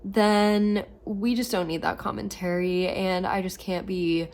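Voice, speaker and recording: A woman in her twenties chatting casually at home about books, a little hoarse from losing her voice and filling her talk with "like". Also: mid-range voice, fairly even intonation, measured pace, a little mellow. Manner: chatty, lots of "like" fillers. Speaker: book vlogger. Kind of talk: casual reading vlog.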